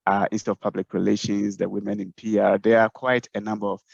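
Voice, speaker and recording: Low-voiced man on a muffled call. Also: flat delivery, vocal fry, darkish tone, dry recording. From Ghana. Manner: measured, thoughtful, many "um"s and restarts. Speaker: communications professional guest. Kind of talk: webinar panel answer. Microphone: video call. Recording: dull muffled online call audio.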